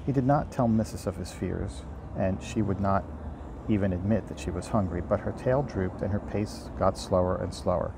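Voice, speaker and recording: Low, gravelly man reading a story outdoors. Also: moderate pace. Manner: unpolished, stumbles and rereads lines. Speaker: amateur audiobook reader and host. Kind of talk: informal storybook read-aloud. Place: outdoors by a river. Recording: faint outdoor ambient noise. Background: faint outdoor ambience.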